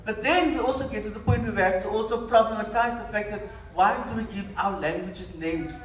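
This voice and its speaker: A man with a high voice speaks on a discussion panel, driving his points with emphatic passion and frequent restarts. He is picked up from a distance, so his voice rings through an echoey room. A faint murmur of ambience sits underneath. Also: brisk. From South Africa. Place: hall at a panel event. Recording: echoey room, mic far off.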